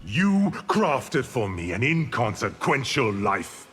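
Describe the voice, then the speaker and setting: An actor playing an arrogant, menacing evil sorcerer in a video game, with haughty, deliberate, theatrical speech. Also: male voice, mid-range voice, sing-song, fairly bright tone, slightly rough, heavily acted. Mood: defiant, menacing. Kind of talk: dramatic video game villain acting. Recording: long hall reverb, music underneath.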